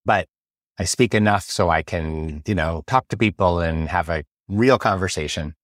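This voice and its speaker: A man's voice: low-pitched, moderate pace, a bit bright, dry recording, clean studio sound. An American man hosting a podcast, chatting casually and telling anecdotes. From United States. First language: English. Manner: chatty, tells anecdotes with quoted voices. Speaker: podcast host. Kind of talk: casual interview chat.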